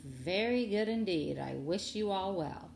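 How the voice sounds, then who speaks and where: A woman's voice: medium pitch, lively intonation, unhurried, creaky, darkish tone, echoey room, slight background noise. Woman playing an oily, drawling, greedy male villain. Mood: falsely pleasant. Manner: drawling, falsely polite, insinuating. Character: smug, nosy, greedy man, oily villain.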